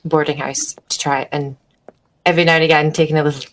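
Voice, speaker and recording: A woman with a slightly low voice takes part in a tabletop game. She casually narrates her character's actions in a halting way. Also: flat delivery, poor audio.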